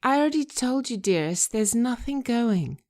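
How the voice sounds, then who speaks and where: A woman's voice: on the high side, lively intonation, moderate pace, darkish tone, voice pushed up, lightly acted. A woman voicing a sophisticated, middle-aged female theatrical agent who talks in an arch, gushing, theatrical way in a screenplay reading. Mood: arch, dismissive.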